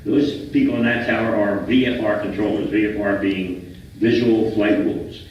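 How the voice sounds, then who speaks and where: An American man in his seventies giving an informal lecture as a guest speaker, in a halting way with repeated and restarted phrases. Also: on the low side, steady tone. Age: 70s. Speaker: guest speaker, retired air traffic controller. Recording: roomy, distant, dull, faintly noisy hall recording.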